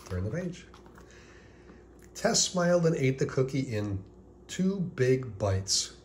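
A man reading a children's picture book aloud as scripted narration, deliberately, with pauses before key words. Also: mid-range voice, very animated, a little hiss or hum.

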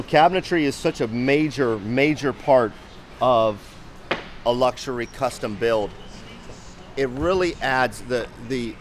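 A man in his forties giving an enthusiastic, informal tour to camera as a show host. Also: mid-range voice. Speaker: company video show host. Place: large open factory hall, indoors. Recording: noisy factory floor ambience.

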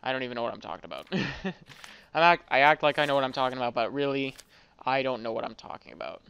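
A man's voice: mid-range voice, lively intonation, fast talker, creaky, fairly bright tone, smooth. A man giving casual gameplay commentary, rambling and thinking aloud as he plays. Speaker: gaming video host.

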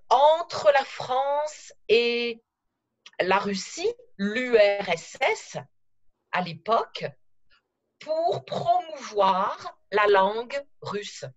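A middle-aged woman recalling her travels in a slow, careful storytelling manner over a video call from her living room. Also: fairly high pitch, muffled recording. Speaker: interview guest. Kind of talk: conversational podcast interview.

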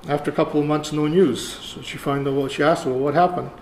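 An older man, around seventy, giving a conference lecture in a halting, deliberate way. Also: on the high side, fairly even intonation. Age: older, about 70. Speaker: keynote speaker, archivist. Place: lecture room, indoors. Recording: roomy echo, faint room noise.

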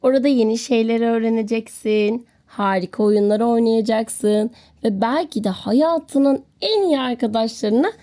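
Fond storybook dad, mellow, voiced by a woman. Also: on the high side, a little slow, lightly acted. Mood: reassuring. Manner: fond, encouraging. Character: proud, reassuring father of a little girl.

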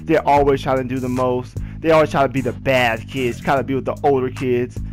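A young American man casually telling personal stories in a slangy, repetitive, animated way. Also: medium pitch, brisk. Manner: slangy, repetitive, animated retelling with acted quotes. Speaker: gaming video storyteller. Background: background music with guitar.

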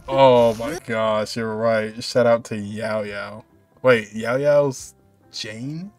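A man's voice: on the low side, steady tone, takes it slowly. A man in his twenties giving casual, amused reaction commentary as a video host, with muttered exclamations. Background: music from the video he is watching.

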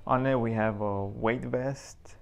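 A young man giving a casual, unhurried walkthrough of his home garage gym, pausing between items as he points them out. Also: medium pitch, steady tone, gravelly, clear, resonant tone.

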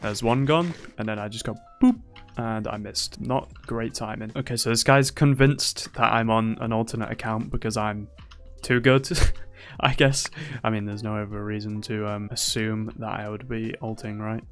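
A young man talks through a match in casual commentary, teasing his opponents and bragging in jest. His voice sounds a little worn from heavy use, and soft music plays faintly underneath. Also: on the low side, steady tone, moderate pace. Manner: playful, teasing, boastful banter. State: slightly losing his voice. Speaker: gaming YouTuber.

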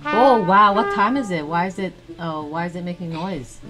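A woman's voice: lowish voice, moderate pace. A woman in her thirties chatting casually as a mother playing a game with a young child, exclaiming, encouraging and asking simple questions. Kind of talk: casual play-along chat. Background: music.

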